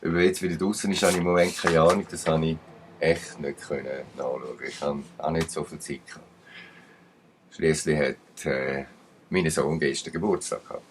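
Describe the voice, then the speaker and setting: A man's voice: a bass voice, steady tone, normal speed, slight background noise. A man with a Swiss German accent giving rambling commentary, emphatic and digressive, with uneven bursts. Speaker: channel commentator.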